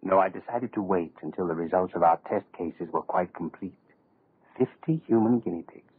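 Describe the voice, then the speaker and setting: An actor playing a suave, power-hungry schemer in a 1930s radio horror drama, speaking with pompous, quietly menacing oratory. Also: male voice, sits low, moderate pace, breathy edge, no echo. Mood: smug menace. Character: suave, power-hungry male dictator-in-waiting, grandiose schemer. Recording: muffled old 1930s radio recording.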